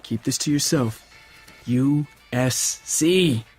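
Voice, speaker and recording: Protective high school guy in an audio drama, kinda husky, casual and clipped, soft music under it. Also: slow. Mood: guarded, hopeful. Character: teenage high school athlete boyfriend, protective and touchy. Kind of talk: naturalistic audio drama acting.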